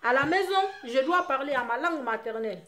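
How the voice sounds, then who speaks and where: A woman from Guinea with a Guinean French accent, Susu her first language, talking to her fans in an impassioned vlog, her voice often rising into loud, forceful outbursts. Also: high voice, lively intonation, a little fast, slight background noise. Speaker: vlogger addressing her fans. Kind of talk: impassioned monologue to camera.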